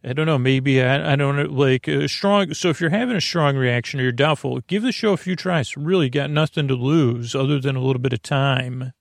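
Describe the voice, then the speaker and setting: A man with a creaky voice hosting a sleep podcast, rambling to the listener in a meandering, tangled, self-deprecating way. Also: mid-range voice, a little fast, few pauses, no room sound, studio quality. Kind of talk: rambling bedtime monologue to the listener.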